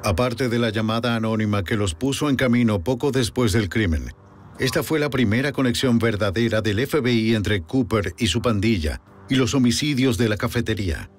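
A man giving measured scripted narration for a crime documentary, recorded as a voice-over. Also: on the low side, faint music behind.

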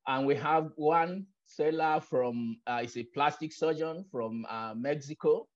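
A man's voice: on the high side, a little slow. A man chairing a panel discussion over a video call, speaking hesitantly with many fillers. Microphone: laptop camera on a video call. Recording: dull video call audio.

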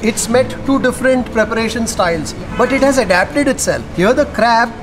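A man's voice: extremely high pitch, expressive, normal speed, background noise. A middle-aged man with an Indian English accent, hosting a food vlog and explaining flavors at a restaurant table. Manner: descriptive, savoring, explanatory. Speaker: food vlogger host. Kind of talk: casual food-tasting commentary. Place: restaurant dining table, indoors.

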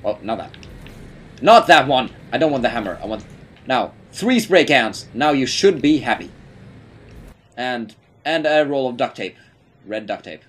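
A man's voice: mid-range voice, animated, a little slow, pauses often, breathy edge. A young man from Norway with a Norwegian accent, chatting and joking as he plays a game with a friend. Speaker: let's play co-host. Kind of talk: casual gaming chat.

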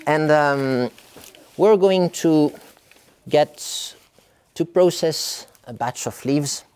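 A man's voice: on the high side, drawn-out words, a little mellow, smooth. A middle-aged man, a tea farmer, rambling casually through a vlog in his tea factory, full of filler sounds and restarts. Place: tea factory, indoors by a hot wok.

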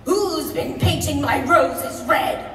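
Female audience volunteer, slow theatrical cartoon queen imitation, distant hall recording. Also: high-pitched. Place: large convention hall audience. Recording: echoey hall, distant, noisy.

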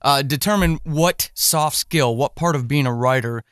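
A man's voice: mid-range voice, moderate pace, fairly bright tone, no echo, clean studio sound. A man hosting a casual interview podcast, feeling his way through questions and rephrasing them as he goes. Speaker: podcast host interviewing a writer.

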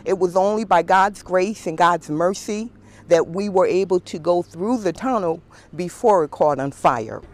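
A middle-aged woman commuter speaking earnestly and deliberately in an outdoor interview. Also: fairly low pitch. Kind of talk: street interview. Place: outdoors in front of a house.

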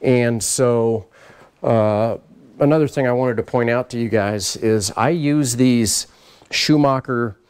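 A middle-aged man giving a casual walk-around tour of his race car shop, explaining parts in a relaxed, practical way. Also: mid-range voice, slow, smooth. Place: large garage workshop, indoors.

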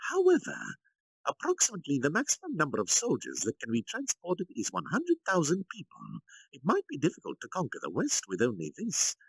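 A man's voice: fairly high pitch, huge pitch swings, a little fast, gravelly, husky, dry recording, voice pushed up, exaggerated voice, rough recording. A man voicing a scheming, self-important military commander in a grandiose, theatrical manner for a story reading. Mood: pompous, assured.

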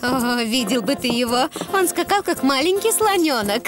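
Cartoon donkey mum, high voice, very animated intonation, affectionate, gently firm, music behind. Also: female voice, moderate pace. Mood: amused, fond. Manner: expressive, motherly. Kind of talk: cartoon dubbing.

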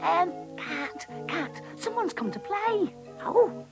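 An excitable cartoon dog. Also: female voice, very high-pitched, takes it slowly, gravelly, over music, heavily acted. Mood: eager.

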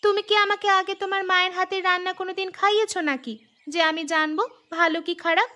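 A woman voicing a spirited, stubborn young bride in a village cartoon, talking in a lively, emphatic way. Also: extremely high pitch, quick pace. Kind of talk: cartoon voice acting.

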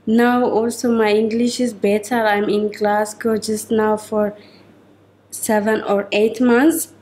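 A young woman giving a simple, halting personal account. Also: medium pitch, little pitch movement, darkish tone, a little hiss or hum, rough recording. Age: young adult. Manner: halting, simple second-language English. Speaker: school student. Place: indoor room.

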